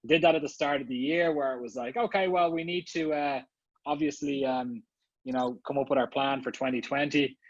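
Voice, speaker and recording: A man's voice: medium pitch, steady tone, a little fast, dry recording, highs cut off. A man with an Irish accent, a marketing executive speaking casually as a guest at an online summit, talking with many fillers and restarts. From Ireland. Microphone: video call.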